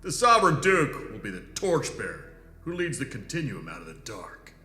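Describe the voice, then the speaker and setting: An actor playing a dutiful, loyal security chief in a sci-fi audio drama, formal, deferential and hesitant. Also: male voice, mid-range voice, sing-song, normal speed, bright, forceful tone. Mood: dutiful, stiff. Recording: echoey hall, faint background noise.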